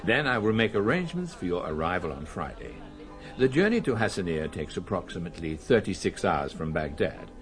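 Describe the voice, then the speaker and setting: An anxious, courteous archaeologist in a radio drama. He speaks with formal care in a lowish, animated voice over faint music. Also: brisk. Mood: earnest. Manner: formal, earnest, careful. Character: anxious, courteous Swedish-American archaeologist husband.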